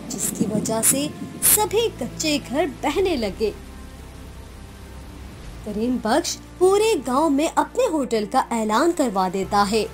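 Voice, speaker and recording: A woman narrator reading a scripted cartoon story in storybook style. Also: a little high.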